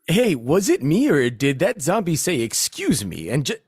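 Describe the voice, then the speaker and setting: A man playing a bossy, insecure zombie hunter in a comedic audio play, with a husky voice and an excitable, commanding delivery. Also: a little high, moderate pace, no echo, studio quality, full character voice. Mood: bossy, puzzled. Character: bossy, insecure male zombie-hunting team leader.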